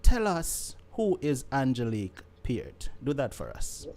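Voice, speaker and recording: A man's voice: mid-range voice, sing-song, unhurried, a little mellow. A Jamaican man hosting a live show, encouraging and building things up like a showman. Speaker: host.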